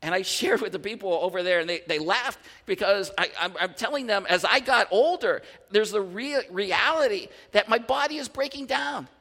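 A man in his fifties, a pastor, preaching a sermon to his congregation in an animated, conversational way with asides and restarts. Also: high voice, normal speed, few pauses, big-room sound. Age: 50s. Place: large church hall.

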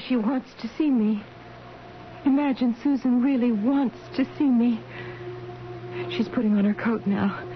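A woman's voice: mid-range voice, gravelly. An actress playing a frail, sick mother in delirium, dreamy and plaintive, in a 1970s radio drama. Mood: wistful awe. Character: frail, sick, yearning mother in delirium, pleading to her own mother. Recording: dull radio broadcast, music underneath.

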